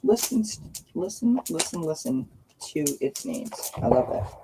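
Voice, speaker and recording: A woman's voice: deep voice, big pitch swings, measured pace. A woman with a scratchy voice chats casually and in a friendly way with live viewers as she hosts a card-reading stream. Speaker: livestream host reading oracle cards. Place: outdoors by a firepit.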